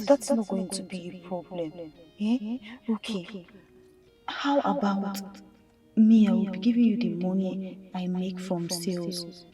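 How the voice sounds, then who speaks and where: A woman playing an eager young woman who hopes to marry, speaking slowly and coaxingly, a little distant, with music underneath. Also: mid-range voice, mellow, close to an everyday voice. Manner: slow, coaxing.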